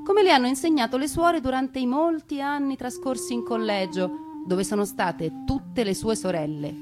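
A woman narrating a scripted stage story in a theatrical, deliberate way with conversational asides. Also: high-pitched. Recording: music behind a radio theatre performance.

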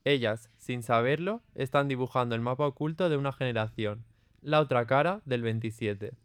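A man's voice: mid-range voice, normal speed, smooth, no room sound, studio quality. A man narrating an audio drama in a solemn, literary storytelling manner. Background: music.

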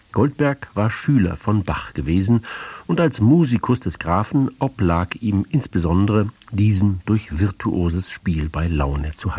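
A male radio presenter with a very low, husky voice reads a formal introduction to an audio play. His measured, literary delivery moves through a lively range of pitch, in a dry studio sound. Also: dry recording. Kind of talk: scripted broadcast introduction.

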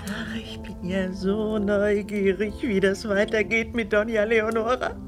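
An actress playing a fussy, sentimental elderly aunt in a German radio drama, effusive and dithery. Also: female voice, medium pitch, flat delivery, a little slow, music in the background, close to an everyday voice. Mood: chatty, fussy.

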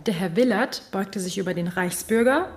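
A woman's voice: mid-range voice, moderate pace, noisy background, close to an everyday voice. An actress playing an earnest, eager female tax office worker who narrates and makes calls in a radio drama. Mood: recounting.

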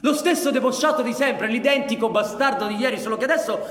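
An actor performing emphatic, brooding narration of a bitter anarchist's story for radio theatre. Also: male voice, very high voice, fast talker, fairly bright tone. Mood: furious. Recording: reverberant hall, live music behind. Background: live music.

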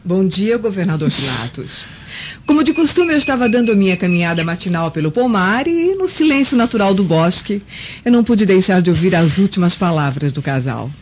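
A woman's voice: mid-range voice, big pitch swings, a little fast, natural voice. An actress playing a sly Roman noblewoman in a radio drama, formal and mock-polite. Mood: smooth, polite. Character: sly, insinuating Roman noblewoman, governor's sister-in-law. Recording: muffled lo-fi recording with clear background noise.

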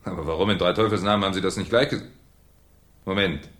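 An actor playing a shrewd, ironic French police commissioner in a 1970s German radio crime drama, with a theatrical, needling manner. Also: male voice, sits low, fast talker, a bit bright. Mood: puzzled, brisk.

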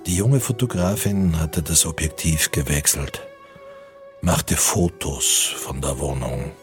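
An actor as the sober narrator of a radio play, reading a scripted literary account in a measured, detached manner. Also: male voice, rumbling low, soft background music. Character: sober male narrator of wartime novel-like account.

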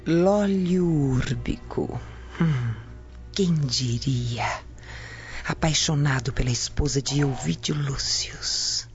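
A woman playing a sly, scheming spy in a radio drama, hushed and gloating in a drawn-out delivery. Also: a bass voice, muffled recording, soft background music. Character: sly, scheming female spy lurking behind curtains. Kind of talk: Brazilian radio drama acting.

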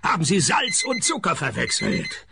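An actor playing a cynical, wisecracking male detective who narrates his case in a radio play, dry and sardonic, with a gravelly voice. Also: on the high side, wildly swooping pitch, moderate pace, over music, exaggerated voice. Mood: dry sarcasm.

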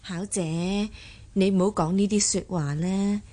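An actress playing a tactful, admonishing senior lady of the household in a Cantonese radio drama, measured and advising. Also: mid-range voice, fairly even intonation, dark tone, close to an everyday voice.

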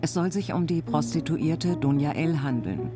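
A man's voice: a little high, normal speed, over music, natural voice. An actor playing a male news announcer, reading crime reports formally in a radio drama. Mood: neutral, formal.

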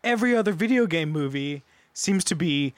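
Male podcast panelist, high voice with lively swings, dry close sound, informal group chat. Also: brisk, no echo.

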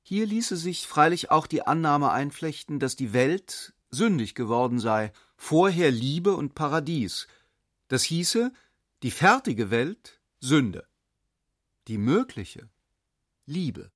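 A man's voice: on the high side, big pitch swings, takes it slowly, a bit bright, no room sound, clean studio sound. A man reading a reflective passage for a radio drama with a pondering, questioning delivery. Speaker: reader of an essayistic passage.

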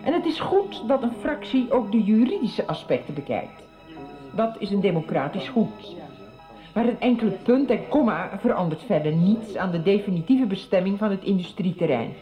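An actress in a radio soap playing a conservative councillor who argues formally and indignantly. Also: female voice, medium pitch, big pitch swings, moderate pace, lo-fi sound, soft background music, close to an everyday voice. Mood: firm. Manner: formal, argumentative debating.

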